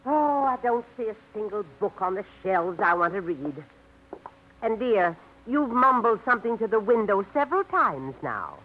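A woman's voice: mid-range voice, very animated, moderate pace. An actress playing a prim, fussy middle-aged woman in a 1950s radio drama. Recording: tinny old radio broadcast.

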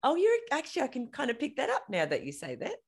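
A woman's voice: high-pitched, wildly swooping pitch, fast, gravelly, slightly rough. A woman hosting a podcast, enthusiastic and chatty, often restarting her sentences as she asks questions. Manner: enthusiastic, chatty, trips over her words. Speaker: podcast host. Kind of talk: podcast interview.

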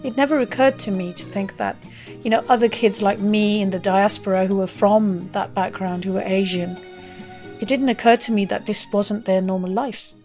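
A woman's voice: medium pitch, fairly even intonation, moderate pace, a little mellow. A woman telling personal memories conversationally, leaning on key words as she goes. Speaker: interview guest, singer. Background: music.

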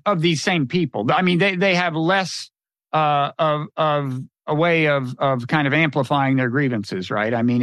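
A man's voice: on the high side, a bit bright, no room sound. A man hosting a podcast interview, recapping context and asking clarifying questions. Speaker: podcast host.

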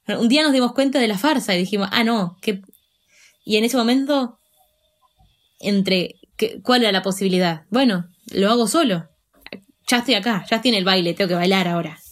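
A woman in her twenties from Argentina with an Argentine Spanish accent, casually telling her own story with fillers and laughs. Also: medium pitch. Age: 20s. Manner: chatty, self-deprecating, with fillers and laughs. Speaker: interview guest.

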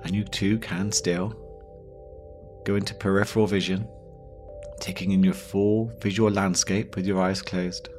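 Deep voiced guy doing a guided meditation, slow n gentle w lots of pauses, soft music behind. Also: level tone. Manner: slow, pausing, gentle instruction. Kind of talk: guided yoga nidra meditation.

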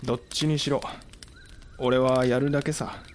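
An actor playing a cool, shrewd samurai commander who is gruff but caring, speaking tersely in a Japanese period audio drama. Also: male voice, medium pitch, flat delivery, normal speed, slight background noise, close to an everyday voice. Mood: subdued, resolute.

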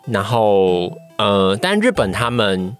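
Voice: low voice, sing-song, slow. Thoughtful young Taiwanese speaker over music. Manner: thoughtful, careful, qualifying. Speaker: guest, voice-acting trainee. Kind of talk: casual podcast interview answers.

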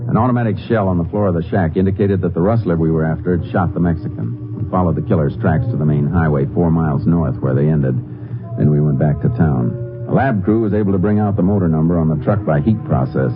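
Man playing stern american texas ranger, real low voice, clipped n deadpan, old muffled radio show. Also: fast talker, music in the background, lightly acted. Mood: matter-of-fact. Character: stern, determined male Texas Ranger leading a murder hunt. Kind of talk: 1950s radio drama acting and first-person narration.

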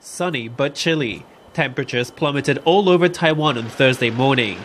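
A man's voice: mid-range voice, moderate pace, fairly bright tone. Formal male weather reporter, faint noise. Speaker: news reporter.

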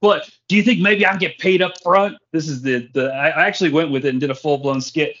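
A man hosting a podcast in casual, jokey chat with animated reactions. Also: a little high, fast talker. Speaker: podcast host. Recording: dull lo-fi podcast audio.

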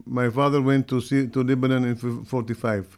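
An older man with a heavy Brazilian accent, answering interview questions in halting, direct English with frequent restarts. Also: medium pitch, little pitch movement, a little mellow. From Syria. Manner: halting, restarts mid-phrase, plain direct phrasing. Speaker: guest.

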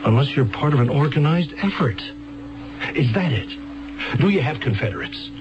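An actor playing an earnest, exasperated defense lawyer in a 1970s radio drama, hesitant, stammering and pleading. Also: male voice, fairly high pitch, animated, normal speed, background noise, close to an everyday voice. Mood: anxious, exasperated. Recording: dull 1970s radio broadcast, music.

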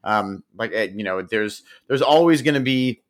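A man's voice: fairly low pitch, animated, normal speed. A man co-hosting a podcast, chatting about football in an easygoing, joking way with many fillers. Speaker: podcast co-host. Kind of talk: casual sports chat.

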